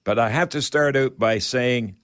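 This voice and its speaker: A man hosting a radio call-in show, giving home improvement advice in a halting, folksy, rambling way. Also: medium pitch, fairly bright tone. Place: radio studio.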